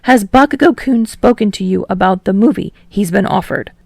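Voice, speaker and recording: A woman voicing a polite, formal, businesslike young man with a measured, earnest way of talking. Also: mid-range voice, gravelly, a little mellow, no room sound.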